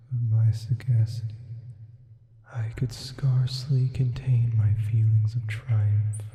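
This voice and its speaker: Demon storyteller played by a man for horror ASMR: slow, hushed, half-whispered, drenched in long reverb. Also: mid-range voice. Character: sleep paralysis demon softly reading a gothic horror tale. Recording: heavy reverb, muffled phone-like band.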